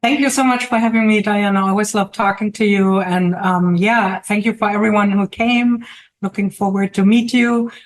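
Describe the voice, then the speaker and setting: A middle-aged woman with a German accent, speaking in an ordinary middle register. She talks in a relaxed, conversational way, often pausing to find her words. The sound is that of a video call. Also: medium pitch. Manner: hesitant, pauses often to search for words. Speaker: guest artist and teacher. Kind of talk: casual interview chat.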